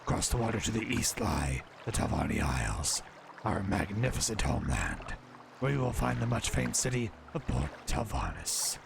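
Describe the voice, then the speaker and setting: A man voicing a proud dark elf townsman from a video game, giving a measured rundown in a deep, gravelly voice. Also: wildly swooping pitch, noisy background, exaggerated voice. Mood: informative. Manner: measured, informative recital. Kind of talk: voicing in-game dialogue in a let's play.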